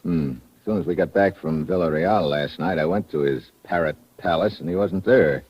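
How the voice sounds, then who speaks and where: An actor playing a savvy, informal American agent in an old-time radio detective drama. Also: male voice, deep voice, moderate pace, lightly acted. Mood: worried. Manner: informal, clipped, tough-guy. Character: gruff, savvy American secret agent investigator. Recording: noisy, muffled vintage radio recording.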